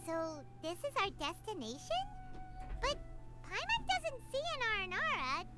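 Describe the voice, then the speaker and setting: A chirpy tiny fairy companion. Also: female voice, extremely high pitch, huge pitch swings, a little slow, loud music behind the voice, exaggerated voice. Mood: curious.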